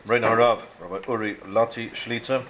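Casting: low, monotone male announcer over a noisy, clicking recording. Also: normal speed. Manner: flat, list-like delivery. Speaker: event announcer.

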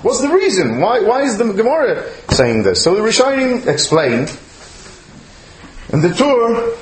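An echoey room with faint noise surrounds a male rabbi giving a religious lecture. His voice sits a little high and swings widely in pitch. He teaches emphatically, posing questions as he goes. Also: wildly swooping pitch, a little slow. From outside Chile, now living there. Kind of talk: religious lecture to a live audience.

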